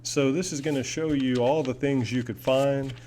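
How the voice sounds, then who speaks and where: A man's voice: mid-range voice, fairly even intonation, moderate pace, mellow. A man chatting casually and enthusiastically in a rambling, folksy way, like a hobbyist showing off gear at home. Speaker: hobbyist video host. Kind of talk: casual product demo and chat. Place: indoors in an apartment. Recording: noisy home recording with audible hum.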